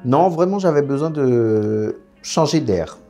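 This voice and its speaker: A man's voice: medium pitch, expressive, slow pace, dark tone, faint music behind. A young man from French Guiana, a guest in a casual interview, telling his story frankly with lots of fillers. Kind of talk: candid casual interview chat.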